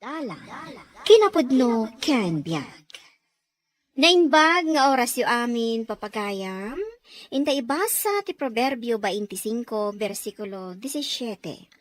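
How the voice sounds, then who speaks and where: A woman with a Filipino accent introducing a radio drama in a measured, formal, scripted style. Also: fairly high pitch, lively intonation, dry recording. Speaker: radio drama announcer and narrator.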